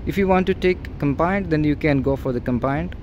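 A man's voice: on the high side, a little fast, dull audio, a little hiss or hum. A man working as a real estate agent, walking through an empty warehouse and pointing out its features in a plain, repetitive way, recorded on a handheld phone. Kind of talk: walkthrough property tour. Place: large empty indoor warehouse. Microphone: phone held by the filming person.

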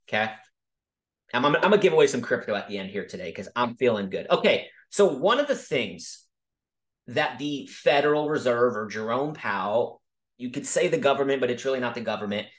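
A man casually teaching about markets over a video call, talking quickly and emphatically and often checking in with "okay?". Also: mid-range voice, fairly bright tone. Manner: fast, emphatic, frequent "okay?" check-ins. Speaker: crypto educator hosting a Zoom call. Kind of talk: casual screen-share teaching.

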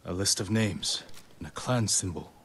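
An actor playing a solemn, resolute samurai in a video game, speaking in a measured, formal way. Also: male voice, deep voice, a bit bright, slightly rough, exaggerated voice. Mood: grave, brooding. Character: solemn, resolute samurai warrior seeking allies. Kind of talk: video game drama acting. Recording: cinematic game music under dialogue.

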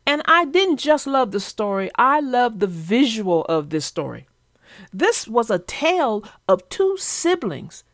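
A woman's voice: on the high side, big pitch swings, measured pace, fairly bright tone, no room sound, studio quality. A woman reading aloud and chatting expressively as a children's book narrator and host. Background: music.

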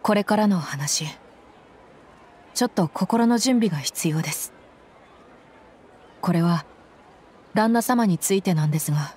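An actress playing a loyal, frank young mercenary bodyguard in a game, speaking in a firm, plain-spoken, tomboyish way. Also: female voice, on the low side, expressive, a little slow, long pauses, a little mellow, husky. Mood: hesitant, careful. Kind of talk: Japanese game voice acting.

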